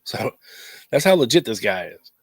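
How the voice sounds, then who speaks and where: Stuffy low-voiced co-host, dry sound. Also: male voice, moderate pace, husky, no echo. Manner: energetic and joking. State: stuffy, coming down with a cold. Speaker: podcast co-host. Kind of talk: casual chat.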